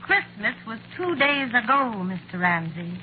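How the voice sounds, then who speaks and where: A woman's voice: fairly high pitch, very animated, unhurried. An American woman acting as a fed-up, plain-spoken secretary in a 1940s radio drama, informal and exasperated. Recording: muffled, hissy old radio recording.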